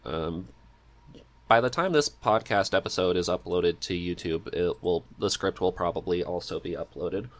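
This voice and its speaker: A man with a low voice talks as a guest in a relaxed interview. He thinks aloud, a little hesitant, in an easygoing conversational way. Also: normal speed. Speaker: podcast guest, script writer and amateur voice actor. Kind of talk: casual interview chat.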